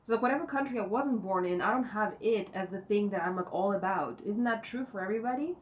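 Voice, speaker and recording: A woman vlogging from home, thinking out loud in a rambling way, full of fillers and cursing. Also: medium pitch, quick pace. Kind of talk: rambling think-aloud monologue. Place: indoors at home. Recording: distant mic with faint noise.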